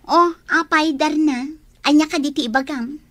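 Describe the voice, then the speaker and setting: A woman's voice: sits high, normal speed, fairly bright tone. An actress playing a vain, haughty young woman with an Ilocano accent in a radio drama, proud and snappish. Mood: dreamy, boastful. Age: young adult. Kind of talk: Filipino radio drama acting.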